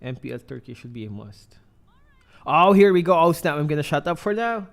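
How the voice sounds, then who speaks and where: A man's voice: fairly high pitch, sing-song, a little fast, vocal fry. A man casting an esports match, chatty and joking between plays. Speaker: esports caster. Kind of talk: lively game commentary.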